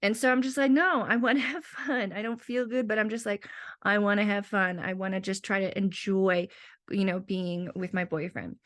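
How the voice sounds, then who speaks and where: A young woman with a sore throat, sick and worn out, talks through her week in a loose, rambling monologue. Her voice sits at an ordinary middle pitch, and she keeps slipping into quoted asides to herself. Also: steady tone, normal speed, a bit bright. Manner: rambling, self-talking asides. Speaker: teacher. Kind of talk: casual personal monologue.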